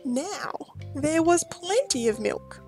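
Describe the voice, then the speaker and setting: Slow, high-voiced woman storyteller. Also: very animated, darkish tone, faint music behind. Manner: deliberate phrasing, dramatic emphasis. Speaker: children's storybook reader. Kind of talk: expressive read-aloud storytelling.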